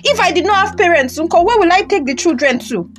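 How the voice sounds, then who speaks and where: A woman's voice: very high-pitched, lively intonation, quick pace, faint music behind. A woman playing a distressed, defiant Nigerian wife and mother in an audio drama, exclaiming and questioning. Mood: indignant, distressed.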